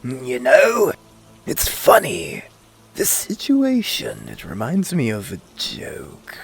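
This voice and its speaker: A gleeful, mocking clown supervillain in a comic dub. The voice swoops wildly and theatrically, with a raspy, creaking edge, and takes its time over each taunt. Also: male voice, mid-range voice, wildly swooping pitch, slow pace, full character voice. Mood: sly, amused. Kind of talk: comic book dub acting.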